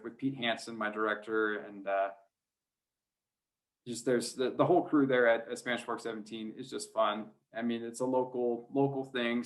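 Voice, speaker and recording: A man's voice: medium pitch, gravelly. A man speaking as an interview guest, a sports announcer telling anecdotes in an easygoing, chatty, self-deprecating way. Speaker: podcast guest, high school sports play-by-play announcer.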